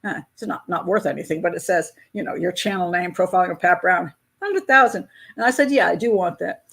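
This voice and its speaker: A woman's voice: fairly low pitch, a little fast, creaky, fairly bright tone. A woman in her sixties hosting a casual live chat with her patrons, talking in a candid, chatty way. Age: 60s. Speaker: host of a crime-profiling channel.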